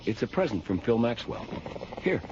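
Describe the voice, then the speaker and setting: An actor playing an easygoing space pilot with a casual, dryly teasing manner in a radio drama. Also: male voice, medium pitch, big pitch swings, moderate pace, lightly acted. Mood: friendly, teasing. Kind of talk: science fiction radio drama acting. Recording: muffled radio drama, noisy ambience behind.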